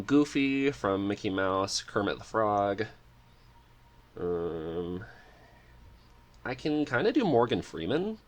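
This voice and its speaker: A man talking casually as a podcast guest, chatty and eager in manner. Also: lowish voice.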